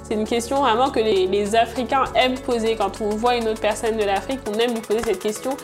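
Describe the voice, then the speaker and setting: Young entrepreneur, high voice, hesitant, music bed underneath. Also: female voice, steady tone, fairly quick. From Senegal, born in Congo, raised in France. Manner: hesitant, many fillers and restarts. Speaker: entrepreneur and channel creator. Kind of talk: interview answers.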